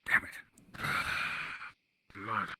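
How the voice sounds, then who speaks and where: A man playing a gruff, frustrated hunter in a fantasy roleplay, talking in a terse grumble. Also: very slow, sharp tone, slight background noise, exaggerated voice.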